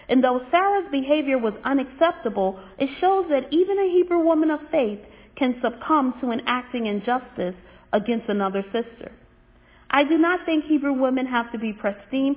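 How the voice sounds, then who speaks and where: A woman giving a teaching sermon in an earnest, measured way. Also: on the high side. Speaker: religious teacher. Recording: tinny phone-like audio.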